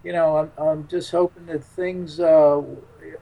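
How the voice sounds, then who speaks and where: A man chatting casually as an interview guest, halting often as he searches for words. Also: fairly high pitch, flat delivery, gravelly, mellow, rough recording. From United States. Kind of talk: casual unscripted interview chat.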